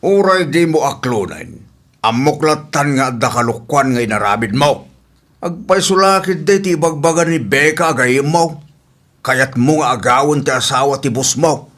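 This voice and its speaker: A man's voice: medium pitch, lively intonation, moderate pace, a bit bright, close to an everyday voice. A man with an Ilocano Filipino accent playing a stern father scolding his wayward daughter in a radio drama. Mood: questioning, reproachful.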